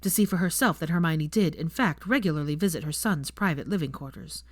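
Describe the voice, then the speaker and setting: A woman's voice: low voice, brisk, no echo. A woman narrating a story from a script as an audiobook-style reader of fan fiction.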